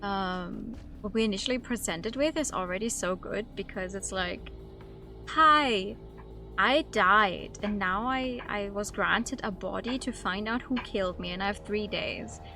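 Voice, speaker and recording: A woman's voice: medium pitch, a little slow. A woman livestreaming a game, commenting casually and reading bits of text aloud as she chats with viewers. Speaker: streamer. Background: soft background music and game audio.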